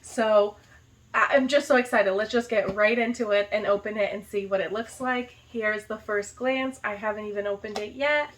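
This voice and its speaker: A young woman from the United States excitedly unboxing a gift box in a casual vlog, gushing and dropping lots of "like". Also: medium pitch, moderate pace, poor audio. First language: not Arabic. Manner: gushing, enthusiastic, lots of "like" and "so cute". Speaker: vlogger, high school teacher.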